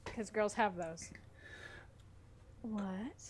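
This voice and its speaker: A woman voicing a naive, flirty female cartoon character on a date, in a breathy, hushed voice. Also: mid-range voice, drawn-out words, voice pushed down. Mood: shocked.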